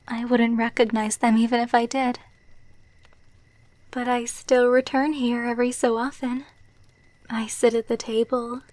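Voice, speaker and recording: Slow, soft, wistful vampire girlfriend played by a woman. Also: fairly high pitch, no echo, natural voice. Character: gentle, wistful female vampire girlfriend, decades old. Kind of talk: ASMR roleplay.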